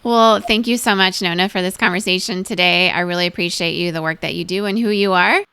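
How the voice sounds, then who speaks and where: Woman, podcast host, crisp clear voice, vocal fry, from Venezuela. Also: on the low side, steady tone, fairly quick, bright, forceful tone, no echo. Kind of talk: interview and listener address.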